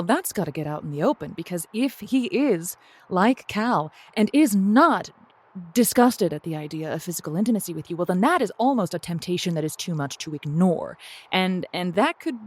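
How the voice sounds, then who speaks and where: Actress as a wry, flustered, animated radio hostess. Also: female voice, lowish voice, slightly rough. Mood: awkward, cautious. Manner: wry, hesitant, quick with dry quips. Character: Josie, wry, flustered female local radio advice host. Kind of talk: audio comedy drama dialogue.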